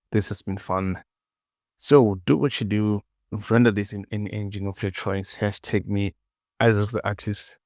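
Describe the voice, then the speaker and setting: A man with a fairly low, creaky voice walks through a software tutorial at a slow pace. He is informal and repeats words as he talks to himself. The recording is close and dry. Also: dry recording. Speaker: 3D modeling tutorial presenter.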